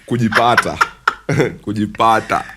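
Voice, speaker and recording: A man's voice: on the low side, unhurried, very noisy. A young Kenyan man, a voice-over artist, chatting casually on a podcast, explaining with examples and laughing often. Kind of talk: casual podcast chat in mixed Swahili and English.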